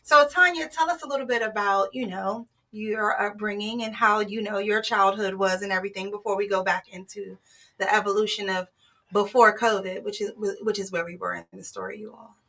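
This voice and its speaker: A woman's voice: medium pitch, fairly even intonation, a little fast, a bit bright. A middle-aged woman hosting a casual interview, affirming her guests with frequent murmurs. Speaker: interview host.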